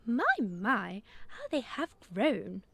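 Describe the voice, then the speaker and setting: A woman's voice: medium pitch, lively intonation, slow, creaky, bright, forceful tone, husky, full character voice. Woman voicing a chirpy, amused female dove. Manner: wondering, chirpy.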